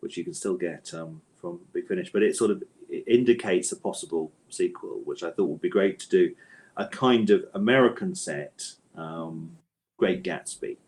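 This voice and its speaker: A man's voice: deep voice, normal speed, darkish tone. A man speaking as an interviewed author in a conversational, discursive way, often interrupting himself and repeating words.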